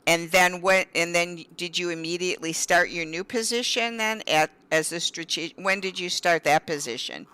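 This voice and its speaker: Woman host, bright and clear tone, conversational questioning that keeps rephrasing itself. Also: fairly low pitch, normal speed, hardly any pauses. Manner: asks questions, rephrases and restarts often. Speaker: interview host. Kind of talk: casual interview.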